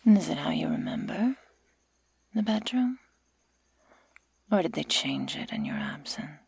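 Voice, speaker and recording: A woman playing a sadistic, menacing werewolf girl in an ASMR roleplay, taunting in a breathy whisper with slow, mock-sweet deliberation. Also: deep voice, huge pitch swings, darkish tone, slight background noise, full character voice. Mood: teasing, sinister. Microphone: close microphone.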